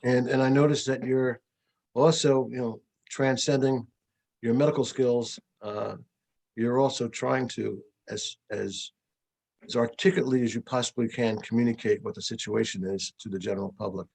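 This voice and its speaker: Gravelly, husky interviewer. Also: male voice, medium pitch, steady tone, moderate pace, darkish tone. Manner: effusive, repeated compliments. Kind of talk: video call interview.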